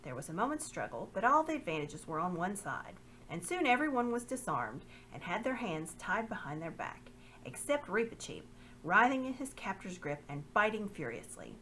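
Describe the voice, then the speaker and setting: A woman reading a children's novel aloud in a lively, expressive way. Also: mid-range voice, moderate pace, a little hiss or hum, poor audio. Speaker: reader.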